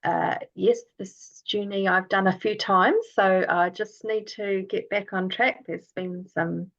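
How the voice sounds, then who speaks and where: A woman's voice: medium pitch, fairly even intonation, slow pace, fairly bright tone, lo-fi sound. A woman, talking casually and hesitantly on a video call. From New Zealand. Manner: rambling, hesitant with many fillers.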